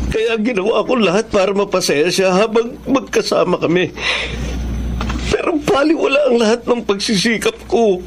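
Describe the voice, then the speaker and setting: High-voiced heartbroken young Filipino man played by an actor. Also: noisy background, lightly acted. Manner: emotional, pained delivery. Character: heartbroken young man drinking over unrequited love. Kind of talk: Filipino radio drama acting.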